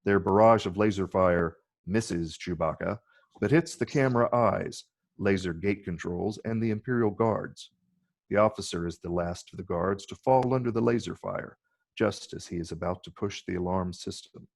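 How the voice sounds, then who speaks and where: A man with a gravelly, husky voice reading scripted screenplay narration. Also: low voice, normal speed. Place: virtual call from separate location.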